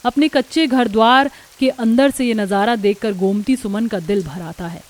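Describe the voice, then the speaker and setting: High-voiced woman narrating a story. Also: normal speed. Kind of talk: scripted cartoon story narration.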